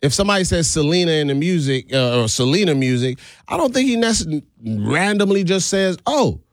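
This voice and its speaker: A man's voice: a little high, very animated, fairly quick, no echo. A middle-aged American man hosting a call-in talk show with casual, playful, argumentative banter and slangy phrasing.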